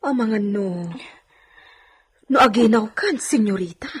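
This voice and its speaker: A woman's voice: medium pitch, animated, measured pace, a little hiss or hum, lightly acted. A woman playing a fretful, caring housemaid in an audio drama, fussing and exclaiming over her young mistress. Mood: worried.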